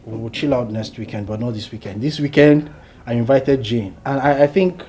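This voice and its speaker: A man's voice: medium pitch, moderate pace, background noise, natural voice. A man with a Nigerian accent playing a prince's candid young friend in a naturalistic film drama. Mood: excitable. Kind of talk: naturalistic Nollywood film acting.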